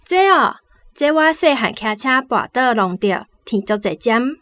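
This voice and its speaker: A young woman for a radio play. Her voice sits a little high, and she explains herself in a matter-of-fact manner. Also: very animated, a little slow, a bit bright. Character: young woman calmly explaining her old childhood injury. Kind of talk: radio drama acting.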